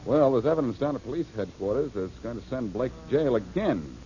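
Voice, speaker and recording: A man's voice: mid-range voice, animated, a little fast, mellow, a little hiss or hum, lightly acted. An actor playing a wisecracking male detective in a 1940s radio drama, on a muffled old broadcast. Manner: breezy, wisecracking. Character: Boston Blackie, wisecracking adult male detective.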